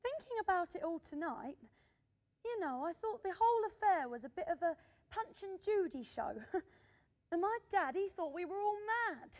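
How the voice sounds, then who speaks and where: In a long, ringing reverb, an actress plays a modest woman singer looking back with amusement. Her voice is extremely high, in a conversational radio drama style. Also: lively intonation, normal speed, a little mellow. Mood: amused, reminiscing.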